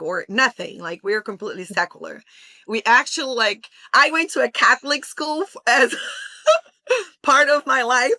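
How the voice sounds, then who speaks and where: A woman's voice: fairly high pitch, sharp tone, no echo. A woman in her forties from Brazil, talking earnestly and passionately as an interview guest. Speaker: guest, homeschooling mother. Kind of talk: interview conversation.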